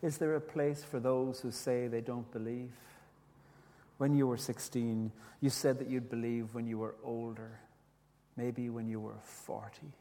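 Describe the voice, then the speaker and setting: Irish guy, irish his first language, soft reflective storyteller, stops and restarts a bit, reading poems, hall echo. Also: medium pitch, steady tone, a little slow. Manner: gentle, reflective, with frequent restarts. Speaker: speaker, poet.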